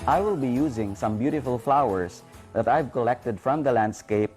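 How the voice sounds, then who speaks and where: Filipino man narrating over clear music. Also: mid-range voice, normal speed. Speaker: art instructor. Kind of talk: scripted lesson narration. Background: background music.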